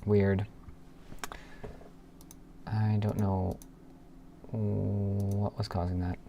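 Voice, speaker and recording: A man's voice: on the low side, level tone, drawn-out words, gravelly, mellow. A man streaming as he codes, thinking aloud casually and muttering to himself. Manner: muttering to himself, murmured asides with sighs and hums. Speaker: programmer live streamer. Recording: noisy room with keyboard clicks. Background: computer keyboard typing and clicking.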